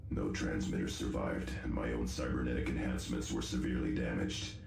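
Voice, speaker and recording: A man playing a grave, stoic tech-priest space marine in a sci-fi game, speaking formally and solemnly with a very deep, gravelly voice. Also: steady tone, brisk, heavily acted. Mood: solemn recounting. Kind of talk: dramatic sci-fi game acting. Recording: roomy echo, music underneath.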